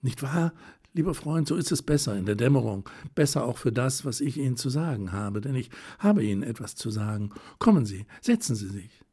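A man voicing an ecstatic aristocratic lady, a baroness who speaks in a hurried, breathless rapture, in an audiobook reading. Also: medium pitch, animated, breathy edge. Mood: fervent.

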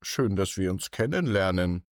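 A man voicing a soft-spoken, cheerful talking snow crystal in a bedtime story. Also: low voice, expressive, moderate pace, no room sound, clean studio sound. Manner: soft, friendly.

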